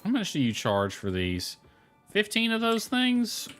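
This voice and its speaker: A man's voice: sits high, huge pitch swings, unhurried, fairly bright tone. Grumbling man playing a video game. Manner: grumbling, mild swearing. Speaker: gamer streaming or recording gameplay. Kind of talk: casual gameplay commentary, muttering to self. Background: background music.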